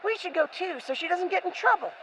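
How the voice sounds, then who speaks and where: A woman as a very high-voiced young boy. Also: lively intonation, fast, fairly bright tone, a little hiss or hum, rough recording. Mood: urging. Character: young boy adventurer, cautious and loyal little brother.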